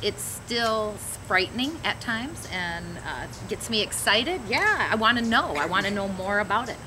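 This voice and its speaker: A bubbly woman in her forties jumps in eagerly to answer. Her voice is at a middling pitch and picked up by a camera at a distance outdoors. Steady background noise sits under it. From Michigan. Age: 40s. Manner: eager, bubbly, quick to jump in. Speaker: applicant. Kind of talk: casual group chat. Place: outdoors in a garden, seated. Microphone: camera mic at a distance.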